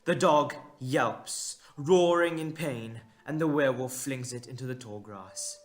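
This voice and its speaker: Male narrator for fantasy audio play: mid-pitched, very unhurried, suspenseful, deliberate pauses, light music bed. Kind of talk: dramatic scripted audio story narration.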